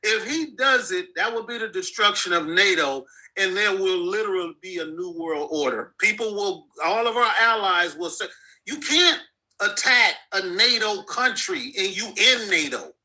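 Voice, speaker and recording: A man calls in to a talk show with a high, very bright voice. He starts out halting, with repeated words. Then he argues bluntly in loose, slangy speech. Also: animated, low-quality recording. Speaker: caller. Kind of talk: casual call-in opinion.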